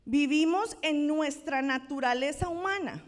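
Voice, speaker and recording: High-voiced Colombian woman preaching. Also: a little slow. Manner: conversational, checks in with the congregation. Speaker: pastor. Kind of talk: sermon. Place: church sanctuary. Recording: long church-hall reverb.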